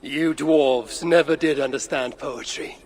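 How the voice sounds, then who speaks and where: An actor playing a commanding one-eyed god-warrior in a video game, curt, imperious and dryly mocking. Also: male voice, medium pitch, a little slow, slight background noise, close to an everyday voice. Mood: dry banter. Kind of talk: video game cutscene acting.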